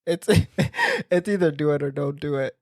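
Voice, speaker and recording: A man's voice: fairly high pitch, wildly swooping pitch, a little slow, no echo, clean studio sound. A man with a Puerto Rican accent, a podcast co-host in casual chat who jokes and talks bluntly. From Puerto Rico. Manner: joking, playful and blunt.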